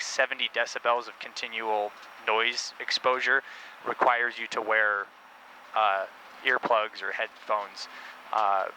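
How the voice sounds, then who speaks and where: A man's voice: medium pitch, poor audio. A man talking casually and informally as he explains and reviews gear for a car vlog. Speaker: host of a car-build video channel. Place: inside a loud race car cabin. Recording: steady vehicle noise behind voice.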